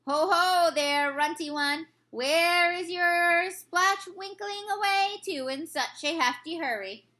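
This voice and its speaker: A woman voicing a huge, menacing male giant in a storybook, booming taunts in mangled grammar. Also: slow pace, sharp tone, full character voice. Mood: taunting.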